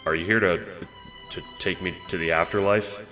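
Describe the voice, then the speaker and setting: A man's voice: low voice, fairly even intonation, moderate pace, sharp tone, in a large hall, faint music behind. A man playing an awkward, nervous human newcomer to the afterlife in an audio drama, speaking hesitantly. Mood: nervous, dazed. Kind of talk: audio drama character acting.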